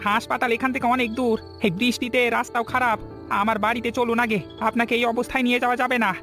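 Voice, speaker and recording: A man voicing a kind, hardworking village woodcutter husband in a Bengali cartoon story, earnest and soft-hearted. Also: very high voice, level tone, fast talker, soft background music, exaggerated voice. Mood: urgent, caring.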